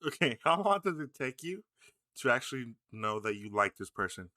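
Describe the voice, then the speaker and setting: A man's voice: medium pitch, sing-song, normal speed, pushed and bright, no room sound, clean studio sound. A young man co-hosting a podcast in casual chat, loose and crude, filling his talk with "like". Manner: loose, lots of "like", crude and playful. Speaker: podcast co-host.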